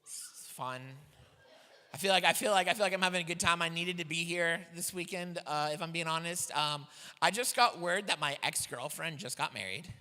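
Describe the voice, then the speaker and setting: High-voiced comedian in a reverberant church hall. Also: male voice, moderate pace. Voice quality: high voice for a heavy man. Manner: self-deprecating, animated storytelling. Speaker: stand-up comedian. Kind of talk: stand-up comedy set. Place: church hall with live audience.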